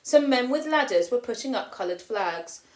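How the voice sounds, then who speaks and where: Casting: female teacher, storybook read-aloud, a little high-pitched, animated delivery, recorded in an echoey room. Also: moderate pace. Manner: expressive storytelling, lifting her voice for children's lines. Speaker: primary school teacher. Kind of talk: reading a picture book aloud. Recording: roomy echo.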